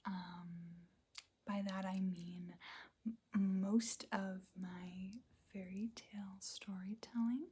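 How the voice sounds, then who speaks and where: Slow, breathy whispering woman. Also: mid-range voice, steady tone. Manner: soft unvoiced whisper with small quiet laughs. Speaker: ASMR channel host. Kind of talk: whispered ASMR life-update chat.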